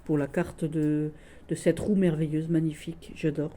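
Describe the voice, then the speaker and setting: Woman, Lorraine accent, lowish mellow voice, halting enthusiast; light background noise. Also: little pitch movement. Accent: Lorraine French. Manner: halting and gushing, many restarts and fillers. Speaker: tarot deck reviewer. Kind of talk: casual tarot deck showcase.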